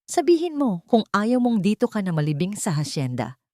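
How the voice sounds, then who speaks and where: A woman's voice: medium pitch, sing-song, normal speed, a little mellow, dry recording, clean studio sound, voice pushed up, natural voice. Woman narrator as a sinister, scheming male lawyer. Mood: angry threat. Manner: threatening, conspiratorial. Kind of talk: Filipino audio drama acting.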